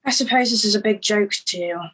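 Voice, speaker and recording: An actress plays a guarded, anxious woman who fears the hard life behind her. Her voice is medium in pitch with a husky edge. It is dramatic table-read acting. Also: fast, a bit bright. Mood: distrustful.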